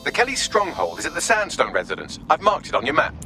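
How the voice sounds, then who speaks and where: A man's voice: on the high side, wildly swooping pitch, quick pace, over music. A man voicing a witty, sardonic AI assistant in a video game, polite and quippy with a British accent. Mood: brisk, wry. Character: witty, dry male AI assistant. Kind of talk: video game cutscene acting.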